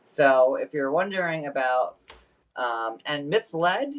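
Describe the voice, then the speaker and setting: A jokey man hosting a livestream, rambling through fillers and restarts. His voice swings widely in pitch, rising and falling as he talks. He keeps a loose, playful manner throughout. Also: animated, slow pace. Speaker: host. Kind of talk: casual live-stream chat.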